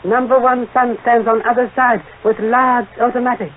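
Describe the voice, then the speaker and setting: An actor playing a shrewd, courtly Chinese detective inspector, formal and aphoristic, with clipped grammar, in old radio mystery style. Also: male voice, very high voice, level tone, moderate pace. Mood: calm, triumphant. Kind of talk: 1940s radio mystery acting. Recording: tinny, noisy old radio recording.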